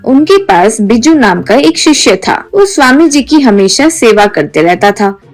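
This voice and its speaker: A woman's voice: fairly high pitch, sing-song, measured pace, faint music behind. A woman reading a scripted children's story as its narrator.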